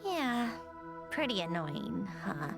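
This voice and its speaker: A woman's voice: medium pitch, very animated, slow, full character voice. A woman voicing a prickly, world-weary young female video game character with a dry, curt manner hiding wistfulness. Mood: annoyed, wistful. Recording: clear music behind the voice. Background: game music.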